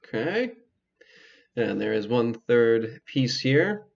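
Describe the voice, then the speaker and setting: A man's voice: mid-range voice, very animated, slow, gravelly. A man giving casual, hesitant commentary as he plays a video game, thinking aloud. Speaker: gameplay video host. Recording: slightly dull lo-fi mic, clicking.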